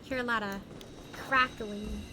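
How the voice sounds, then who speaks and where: A woman's voice: mid-range voice, fairly even intonation, measured pace, background noise. A woman live-streaming a game, chatting casually to viewers and thinking aloud in a playful, exclamatory way. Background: music behind the voice.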